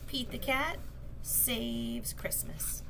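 A middle-aged woman reading a children's picture book aloud in an expressive, sing-song manner. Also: on the high side. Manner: sing-song, expressive, slow and deliberate delivery for young children. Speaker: storytelling teacher reading aloud. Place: small classroom or office, indoors. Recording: clear background room noise.

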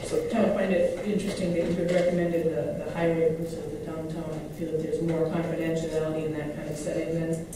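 Polite meeting chair, higher male voice, far off in a reverberant room. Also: level tone, brisk. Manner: polite, inviting input. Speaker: city commissioner chairing comment. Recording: echoey chamber, distant mic, some noise.

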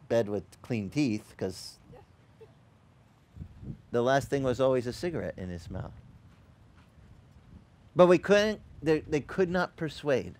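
Animated Italian-native male pastor. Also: fairly high pitch. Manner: animated, conversational preaching with joking asides. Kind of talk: sermon. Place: outdoor beach service.

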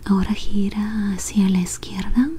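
A young adult woman whispering soothingly in an ASMR medical role play, giving gentle instructions in short phrases, close to the mic in a small room. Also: mid-range voice, flat delivery, a little slow, a little hiss or hum. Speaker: ASMR role-player as clinic examiner.

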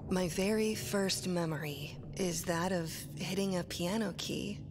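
An actress playing a haunted, bitter, resolute woman in a horror game trailer, brooding and deliberate. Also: fairly low pitch. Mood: wistful. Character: haunted, bitter, resolute wife hidden in a house. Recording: loud trailer music over the voice.

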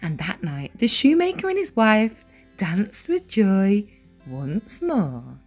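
A woman reading a children's storybook aloud as storyteller, with deliberate, dramatic emphasis on key words. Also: medium pitch, huge pitch swings, a little mellow, faint music behind. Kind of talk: expressive read-aloud storybook narration.

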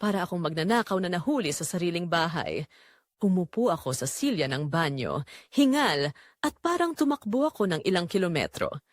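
A woman's voice: lowish voice, very animated, moderate pace, dry recording, studio quality. A woman playing a guilty, secretly tempted married mother who narrates her own story in an audio drama. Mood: tense, yearning. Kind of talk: first-person scripted audio drama narration.

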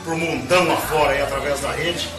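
A man with a Brazilian Portuguese accent asking an interviewee lively, prodding questions. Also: a little high, brisk. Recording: echoey room with music underneath.

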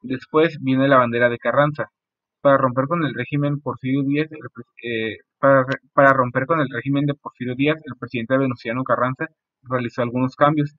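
A young man giving an informal history presentation in a halting way, often repeating his phrases. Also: mid-range voice, steady tone, no echo. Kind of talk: informal school-style history presentation over slides. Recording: muffled, phone-like narow band, dry.

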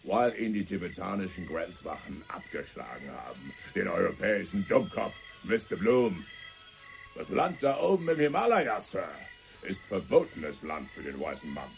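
An actor playing a commanding American scout who speaks in a theatrical way, in a radio play. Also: male voice, deep voice, sing-song, moderate pace, gravelly, faint music behind, full character voice. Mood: grave. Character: gruff American scout Mister Monsoon, commanding.

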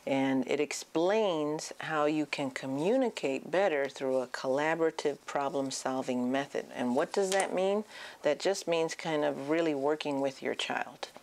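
A middle-aged woman with a low-pitched voice gives informational advice to parents. She explains each point with emphasis, like a clinician addressing families on camera. She is recorded on a lapel mic in an office. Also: a little slow, a little mellow, slight background noise. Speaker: child and adolescent psychiatrist giving advice. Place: small indoor office.

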